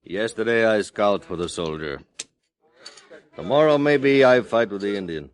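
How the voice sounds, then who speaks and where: A sly, cold-eyed frontier interpreter, voiced by a man in an old radio western. He talks low and slow in clipped, broken phrases, never giving much away. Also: lively intonation, lightly acted. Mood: cagey. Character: sly male half-breed frontier interpreter. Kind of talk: 1950s radio drama acting.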